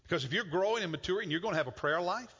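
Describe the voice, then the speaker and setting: A man's voice: high-pitched, lively intonation, rapid-fire, a bit bright, breathy edge. A middle-aged man preaching a conversational sermon as a pastor, often repeating words as he works toward a point. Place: church sanctuary. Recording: muffled church hall with long reverb.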